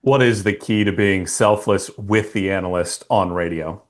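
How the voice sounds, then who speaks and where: A man's voice: fairly low pitch, moderate pace. A man hosting an interview who asks questions in a friendly, conversational way. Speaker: interview host.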